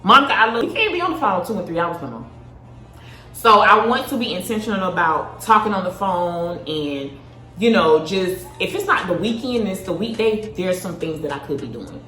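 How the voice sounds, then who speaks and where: A woman in her thirties chatting casually to camera, animated and personal, calling viewers baby and sis. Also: medium pitch, normal speed, roomy sound, soft background music, poor audio. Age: 30s. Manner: talks while eating. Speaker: vlogger.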